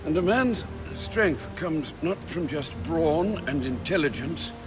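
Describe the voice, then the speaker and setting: Wise old butler in a game, formal n loyal, slow w lots of pitch movement. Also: male voice, lively intonation, over music. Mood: earnest, firm. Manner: formal, measured counsel. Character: loyal, wise, elderly male butler, tender but firm. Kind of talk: video game cinematic acting.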